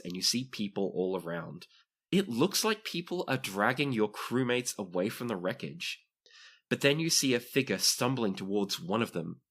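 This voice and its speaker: A man's voice: fairly low pitch, a bit bright. A man narrating a tabletop roleplaying game as game master, describing scenes and explaining rules to players.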